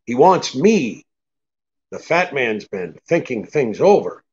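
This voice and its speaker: Guy doing a sardonic american hard-boiled detective, lively pitch swings, old radio play, kinda dull lo-fi sound. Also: slow, over music. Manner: sardonic, clipped, tough. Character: cynical, wisecracking hard-boiled male private detective. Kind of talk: 1940s radio drama acting.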